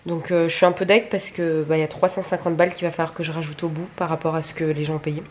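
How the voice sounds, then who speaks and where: A woman's voice: sits low, flat delivery, fast talker, slight background noise. A young adult woman chatting casually to camera in a candid, crude, colloquial way, grumbling and poking fun at herself. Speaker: vlogger filming stories. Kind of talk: casual confessional chat to camera.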